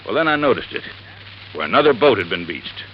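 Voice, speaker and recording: A man's voice: fairly low pitch, very animated, a little fast. An actor playing an earnest, folksy American local man in an old radio drama. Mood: earnest, suspicious. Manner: folksy, colloquial. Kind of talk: 1940s-50s American radio acting. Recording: muffled, noisy old radio recording.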